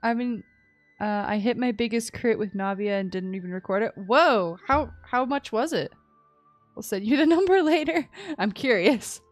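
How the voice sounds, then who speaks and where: A woman's voice: fairly high pitch, normal speed, soft background music. A woman livestreaming a game, chatting casually in a playful, giggly, teasing way. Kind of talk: casual chat while playing.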